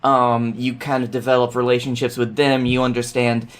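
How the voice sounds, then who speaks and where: A male podcast guest with a medium-pitched voice. He explains things in a loose, conversational way, with wry asides and the odd swear word. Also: little pitch movement, moderate pace, clear, resonant tone. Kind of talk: casual explanatory chat.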